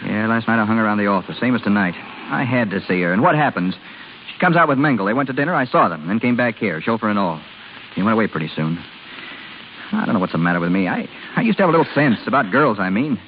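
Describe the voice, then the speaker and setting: An actor playing a jealous, brooding young husband in a vintage radio drama. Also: male voice, lowish voice, very animated, quick pace. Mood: bitter, wounded. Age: young adult. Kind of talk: 1930s-40s radio drama acting. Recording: old tinny radio broadcast with hiss.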